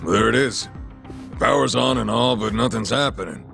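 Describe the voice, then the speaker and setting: Very gravelly, brusque gangster. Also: male voice, mid-range voice, moderate pace, a bit bright, soft background music. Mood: brisk, bossy. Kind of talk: English game dub acting.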